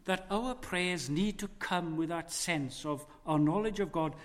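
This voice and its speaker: A man preaching a sermon, slow and weighty, his voice dropping low and quiet for emphasis. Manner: slow, weighty preaching with dramatic drops in volume. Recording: long hall reverb.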